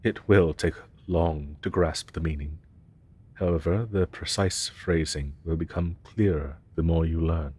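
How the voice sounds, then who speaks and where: A man's voice: a bass voice, steady tone, a little slow, a little mellow, slightly rough, full character voice. A man voicing a haughty, grandiose but tender dragon professor in a scripted fantasy roleplay, speaking in archaic, pompous, formal phrases. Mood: lofty, patient.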